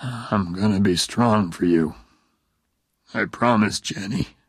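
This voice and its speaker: A man playing a grieving father who speaks quietly and gently. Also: on the low side, slow, husky, no echo, studio quality, full character voice. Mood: solemn. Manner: quiet, subdued.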